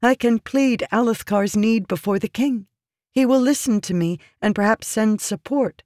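Woman narrator doing a high pleading noblewoman for an audiobook, scared but scheming, very intense. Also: moderate pace, darkish tone, no room sound, studio quality, natural voice. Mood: calculated pleading. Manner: pleading, weak and feminine, intense. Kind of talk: audiobook character dialogue.

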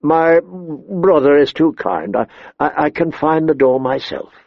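A man's voice: fairly high pitch, lively intonation, measured pace, vocal fry, no echo, highs cut off, full character voice. A man voicing a sardonic, courtly lord in an audiobook, amused and mocking.

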